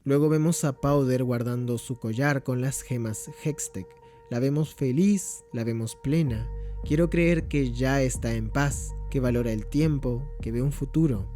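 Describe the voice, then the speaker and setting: A man's voice: medium pitch, a little slow, mellow. A man with an Argentine accent reading a scripted analysis in an earnest, heartfelt, reflective way, with faint music behind him. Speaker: video essayist.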